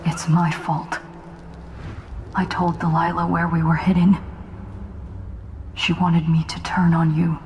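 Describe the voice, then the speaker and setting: A woman's voice: on the low side, level tone, slow pace, long pauses, a little hiss or hum. An actress playing an ambitious young female assassin lieutenant who reports in a clipped, matter-of-fact way in a game cutscene. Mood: remorseful. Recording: game music behind.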